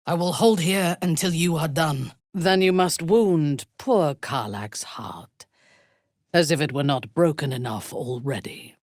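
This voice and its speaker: A woman playing a haughty, menacing female warrior and former cultist in a video game, speaking in formal, imperious, disdainful phrases with a husky, breathy voice. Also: deep voice, a little slow, darkish tone, dry recording, clean studio sound. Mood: quiet menace. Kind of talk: video game character voice lines.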